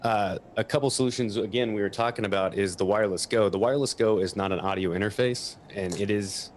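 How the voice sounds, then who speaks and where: A man's voice: lowish voice, fast, slight background noise. A man giving an informal technical explanation as a guest equipment expert.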